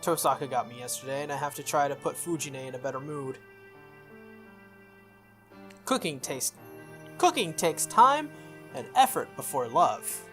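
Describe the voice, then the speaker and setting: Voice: medium pitch, expressive, normal speed, long pauses, a bit bright, soft background music. A game playthrough host reading visual novel text aloud, sometimes stumbling and rereading, with casual asides.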